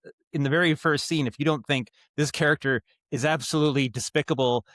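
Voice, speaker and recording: A man's voice: on the high side, steady tone, fast talker, fairly bright tone, dry recording, clean studio sound. A man giving casual interview answers as a guest, thinking aloud in a rambling, thoughtful way and often rephrasing. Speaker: podcast guest, writer and audio drama director.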